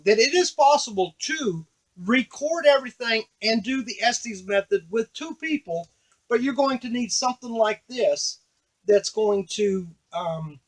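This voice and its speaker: High voiced guy doing a casual gadget walkthrough, explaining it step by step as he goes. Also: low-quality recording. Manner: explanatory, step-by-step, addressing the viewer. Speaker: device demonstrator. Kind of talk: casual unscripted hands-on product tutorial.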